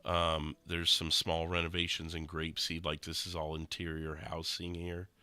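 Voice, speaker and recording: A young man streaming a game, sounding nasal and rough after being sick, explaining things casually with lots of fillers. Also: sits low, normal speed, no room sound. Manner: relaxed, rambling explanations with many fillers. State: nasal and rough from recent illness. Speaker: streamer explaining a roleplay server. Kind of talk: casual gameplay commentary.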